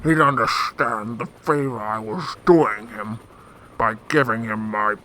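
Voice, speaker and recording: An actor playing a pompous, indignant big crime boss in a radio drama. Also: male voice, medium pitch, unhurried, pushed and bright, slight background noise, poor audio. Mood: pompous, affronted. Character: blustering, arrogant male crime boss, big man.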